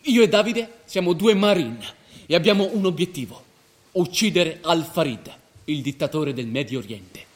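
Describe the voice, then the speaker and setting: A man's voice: on the high side, animated, moderate pace, natural voice. A man acting the part of a restless, idealistic, rebellious young man in a theatrical radio drama. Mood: animated storytelling. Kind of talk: theatrical radio drama, dialogue and first-person narration. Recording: roomy stage sound with faint noise.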